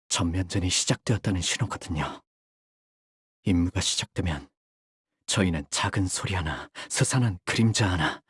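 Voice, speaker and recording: An actor playing a young, bird-like warrior in a game, polite and formal in speech yet bloodthirsty, with theatrical delivery. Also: male voice, low voice, husky, dry recording, clean studio sound. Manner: polite, formal speech breaking into fierce intensity. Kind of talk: Korean game character voice lines, theatrical.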